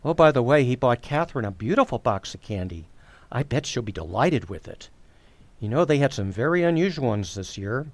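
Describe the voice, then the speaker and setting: Mild-mannered radio sitcom husband played by a man, polite and hesitant, very lively intonation, close dry sound. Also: mid-range voice, fairly quick, no room sound. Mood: sheepish, evasive. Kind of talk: old-time radio sitcom acting.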